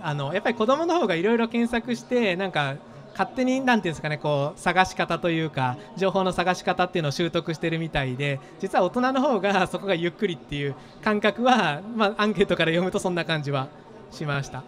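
A man in his thirties, a startup founder being interviewed on an expo stage, talking quickly and thoughtfully with many fillers into a handheld mic. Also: sits high, animated. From Japan, Gifu. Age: 30s.

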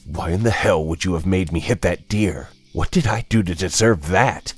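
A man's voice: very deep voice, animated, slow pace, slightly rough, full character voice. A man voicing the rotting corpse of a dead brother, sneering and accusing in a horror story. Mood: bitter, accusing. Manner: sneering, mocking.

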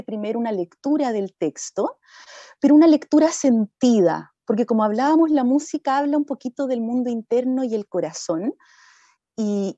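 A woman in her thirties explains her method informally. Her voice is mellow and a little high, heard through slightly muffled video-call audio. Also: no room sound. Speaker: musician on a panel. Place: indoor room at home, on a video call.

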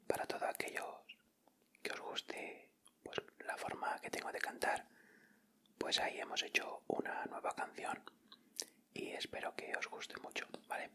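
A man with a Castilian Spanish accent doing gentle, informal ASMR commentary as he hosts an unboxing. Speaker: ASMR video host.

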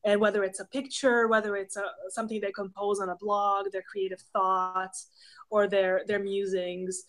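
A woman's voice: medium pitch, little pitch movement, moderate pace, a bit bright. A woman in her thirties from Hungary with a Hungarian accent, a professor giving thoughtful, example-filled explanations as a guest on a video call from a home office. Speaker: professor of pastoral theology, guest. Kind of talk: casual interview conversation. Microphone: webcam on a video call.

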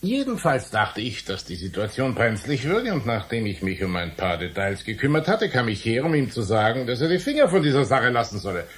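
An actor playing an indignant, wry middle-aged uncle and science writer in a German radio play, eloquent and blustering. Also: male voice, medium pitch, sing-song, a little fast, hardly any pauses, natural voice. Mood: wry, explaining. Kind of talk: German radio drama acting.

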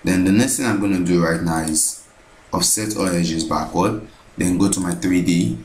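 A man narrating a screen-recorded software tutorial, thinking aloud as he repeats each step. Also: sits low, normal speed, reverberant, slight background noise.

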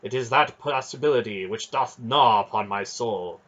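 A man's voice: medium pitch, moderate pace, a bit bright, lo-fi sound, close to an everyday voice. A man voicing a brooding noble knight who speaks in an archaic, earnest and declaiming way. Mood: troubled, solemn. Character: brooding noble male knight, formal and solemn.

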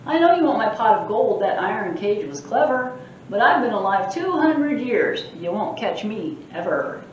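A woman's voice: mid-range voice, big pitch swings, moderate pace, darkish tone, a little hiss or hum, voice pushed up, close to an everyday voice. A woman reading as a boastful leprechaun. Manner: sing-song rhyming taunts. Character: boastful tricky male leprechaun. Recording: echoey, distant, dull room sound.

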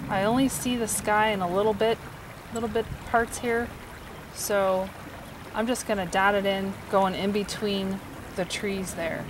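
A woman in her forties teaching a quick watercolor sketch outdoors, explaining each step in a relaxed, encouraging way. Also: medium pitch. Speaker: watercolor instructor. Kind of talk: outdoor painting demonstration. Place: outdoors by a stream. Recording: faint stream noise behind.

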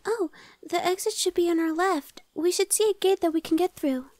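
A woman voicing a timid, anxious young female gargoyle in an ASMR roleplay, soft, girlish, hesitant and stammering. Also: very high-pitched, moderate pace, no echo. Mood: shy, grateful. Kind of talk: ASMR audio roleplay acting.

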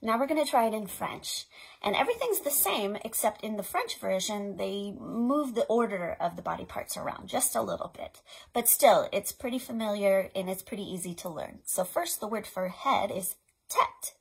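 A woman's voice: medium pitch, animated, moderate pace, vocal fry, breathy edge, poor audio. An American woman in her thirties hosting a children's storytime, talking in an encouraging, instructional way to young children and parents. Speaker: children's librarian, storytime host. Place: small play tent indoors.

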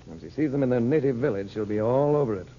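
An actor playing a calm, firm, reassuring adventurer uncle in an old radio drama. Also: male voice, mid-range voice, quick pace, natural voice. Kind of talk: 1930s-40s radio acting. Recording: muffled, hissy old radio recording.

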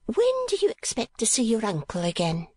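A woman voicing a shrewd, chatty older female miniature painter in a high, gossipy, exclamatory voice. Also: huge pitch swings, moderate pace, a little mellow, slightly rough, no room sound, clean studio sound, exaggerated voice.

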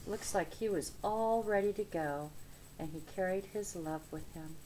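A middle-aged or older woman reading a children's story aloud in an expressive, deliberate way. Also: fairly low pitch, a little hiss or hum, poor audio. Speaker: storybook reader. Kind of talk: children's read-aloud narration. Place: small indoor room with bookshelf.

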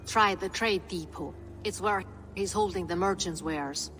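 An actress playing a wry, stoic, shrewd Norse woman in a video game, speaking drily and deliberately. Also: on the low side, a bit bright, a little hiss or hum. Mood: grave, informative. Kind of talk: video game cutscene acting.

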